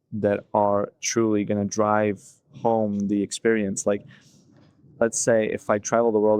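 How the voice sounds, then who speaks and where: A young man in his twenties thinks out loud in a casual solo talk, his low voice staying flat and even. He wanders through his points with plenty of "like" and "you know" fillers. The sound is dry and close, with no room around it. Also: gravelly, no room sound. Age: 20s. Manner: thinking aloud, loose and unscripted, full of "like" and "you know". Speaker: vlogger. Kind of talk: reflective solo monologue.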